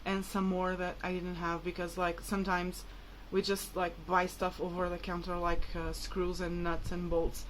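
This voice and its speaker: A woman's voice: lowish voice, completely flat delivery, normal speed, few pauses, slight background noise, rough recording. A woman whose mother tongue is Portuguese, talking casually and hesitantly to viewers in a rambling, informal vlog. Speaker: vlogger.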